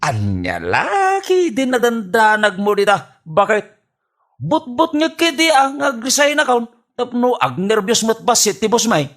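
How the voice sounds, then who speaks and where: A man's voice: extremely high pitch, wildly swooping pitch, measured pace, a bit bright, heavily acted. A man with an Ilocano accent playing a boastful, childish, stubborn husband in a radio comedy drama, with cocky, exaggerated delivery. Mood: cocky, defiant. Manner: cocky, exaggerated, swooping delivery with mocking laughs.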